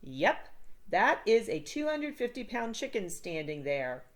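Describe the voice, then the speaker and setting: A woman's voice: medium pitch, sing-song, unhurried. A woman voicing a wry, know-it-all schoolboy with a dry, sarcastic manner in a storybook. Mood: wry alarm.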